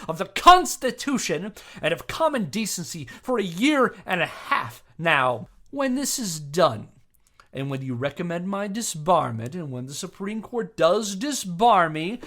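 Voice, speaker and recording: A man's voice: a little high, a bit bright, full character voice. A man playing an indignant, self-righteous attorney delivering a defiant speech in grandiose, preachy oratory, as a dramatic reading. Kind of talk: dramatic reading of a legal statement.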